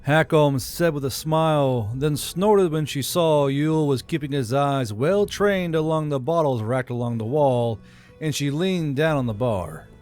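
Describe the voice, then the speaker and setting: A man's voice: a little high, measured pace. A man reading scripted fantasy fiction as an audiobook narrator. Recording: soft music bed under narration.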